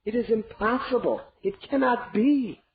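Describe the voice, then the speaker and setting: A man doing an anguished old king. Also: measured pace. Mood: desperate pleading. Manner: anguished, pleading, raises voice high. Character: grief-stricken old king, pleading and desperate. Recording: dull lo-fi recording.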